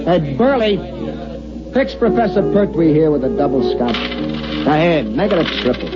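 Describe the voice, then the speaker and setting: A man's voice: on the high side, animated, normal speed, loud music behind the voice, close to an everyday voice. An actor playing an eager, persistent newspaperman, coaxing and slangy, in 1950s radio drama style. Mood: consoling. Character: eager, fast-talking newspaperman, pleading and persistent. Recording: dull old radio recording.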